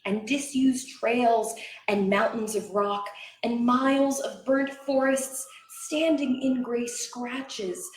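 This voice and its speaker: A woman reciting poetry as a dramatic reader, with measured, expressive and deliberate phrasing. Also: a little high, slight background noise. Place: indoor library event room. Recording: echoey room, live reading.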